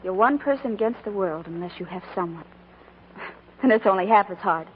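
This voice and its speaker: A woman's voice: medium pitch, expressive, moderate pace, slight background noise, natural voice. An actress playing an earnest, plainspoken young working woman in love, with an American accent, in 1940s radio style. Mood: tender. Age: young adult. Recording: muffled old radio broadcast with music.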